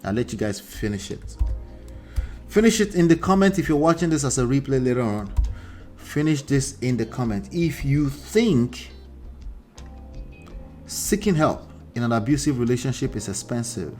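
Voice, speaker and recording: Animated Nigerian man on a livestream. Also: medium pitch, moderate pace, pauses often, faint music behind. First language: Akure dialect. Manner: animated, repeats himself, reads out viewer comments. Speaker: relationship coach host. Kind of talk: livestream commentary.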